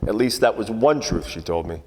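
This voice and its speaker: An actor playing a world-weary, wisecracking 1940s private detective, narrating in hardboiled radio-play style with deadpan asides. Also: male voice, fairly low pitch, brisk, husky, long reverb tail, low-quality recording. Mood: wry. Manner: wry, colloquial, deadpan asides.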